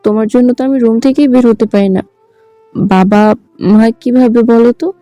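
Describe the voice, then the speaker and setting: Woman acting as fed-up young wife, really soft dark tone, highish, music underneath. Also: steady tone, moderate pace, dry recording, close to an everyday voice. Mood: exasperated. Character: exasperated young newlywed wife.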